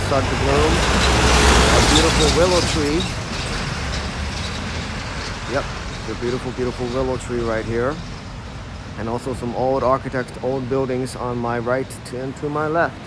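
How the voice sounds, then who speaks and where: A man's voice: medium pitch, noisy background. A man in his thirties with an American accent, casually guiding a live-streamed walking tour outdoors, with lots of fillers. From Ohio, United States. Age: 30s. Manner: casual, chatty, many fillers. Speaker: tour guide. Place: outdoors on an old city street. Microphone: phone camera held while walking.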